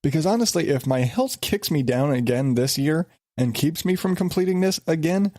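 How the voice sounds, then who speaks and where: A man's voice: on the high side, lively intonation, moderate pace, darkish tone, no echo, studio quality. A man hosting his own podcast, stuffed up from a sinus infection and talking in an exasperated, rambling way with many restarts. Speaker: podcast host. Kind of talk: casual solo podcast.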